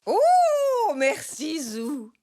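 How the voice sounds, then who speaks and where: An actress playing a gentle, playfully teasing grandmother zebra in a French children's cartoon. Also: high-pitched, wildly swooping pitch, very slow, heavily acted.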